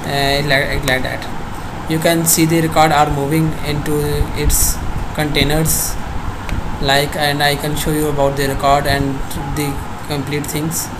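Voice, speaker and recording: A young man with a Pakistani accent giving a halting software demonstration in non-native English phrasing. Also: on the high side. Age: young adult. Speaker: student presenter. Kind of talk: screen-recorded software demonstration. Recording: noisy home screencast, steady background hum. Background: constant clear background noise.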